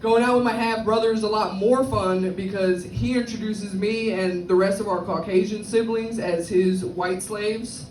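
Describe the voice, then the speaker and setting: A woman from the South Bronx with a Bronx accent and a deep, slightly cracked voice, doing a brash, crude stand-up set on a club stage. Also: fairly even intonation, moderate pace, noisy background. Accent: Bronx New York. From South Bronx, New York. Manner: brash, crude, self-mocking punchlines. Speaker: stand-up comedian. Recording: echoey club, far from the mic. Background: audience laughter.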